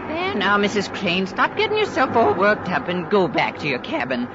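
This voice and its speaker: Muffled old radio recording, actress playing fussing motherly stewardess, mid pitch, hugely animated intonation, practical, soothing. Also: moderate pace, background noise, close to an everyday voice. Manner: chatty, soothing. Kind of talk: old-time radio drama acting.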